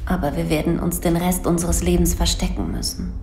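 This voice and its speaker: A woman's voice: on the low side, fairly quick, mellow, a little hiss or hum, lightly acted. An actress playing a guarded, distrustful woman in a sci-fi audio drama, cold and weary. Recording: echoey room.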